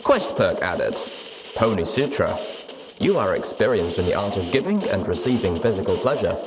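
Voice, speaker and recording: A man with a deep voice reads fantasy fiction as an audiobook narrator. His delivery is expressive, with pitch that moves around a great deal. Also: normal speed, slight background noise, low-quality recording. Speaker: audiobook narrator and show host.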